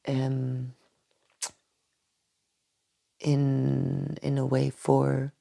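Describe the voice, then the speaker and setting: Low, gravelly, soft-spoken woman. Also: completely flat delivery, extremely slow. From Tanzania. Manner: hesitant, many restarts and soft breathy trailing phrases. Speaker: doctor and acupuncturist, podcast guest. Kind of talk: reflective interview answers. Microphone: podcast microphone.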